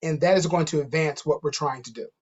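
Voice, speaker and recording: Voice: mid-range voice, fast talker, darkish tone, slightly rough. A panelist speaking reflectively, repeating words while searching for the point. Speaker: doctoral student panelist. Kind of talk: panel remarks. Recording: dull lo-fi audio.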